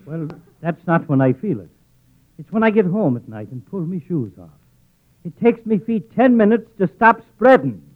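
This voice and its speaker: Blustery Irish policeman, middle-aged, hefty, high and animated, 1940s radio comedy, tinny band-limited sound. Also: moderate pace, slight background noise. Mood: grumbling.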